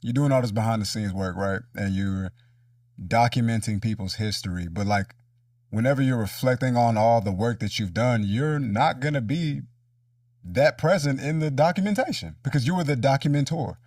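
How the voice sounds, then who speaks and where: A man's voice: mid-range voice, normal speed, a little mellow, no room sound, clean studio sound, distant mic. A man hosting a casual, reflective conversational interview in informal language. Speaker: interviewer, show host.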